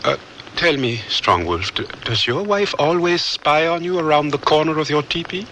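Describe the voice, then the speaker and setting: A man's voice: fairly high pitch, expressive, moderate pace, background noise. An actor playing a polite, bashful, earnest young man in a radio drama, speaking courteously and hesitantly. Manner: hesitant, courteous, often stammering. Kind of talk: radio drama acting and narration.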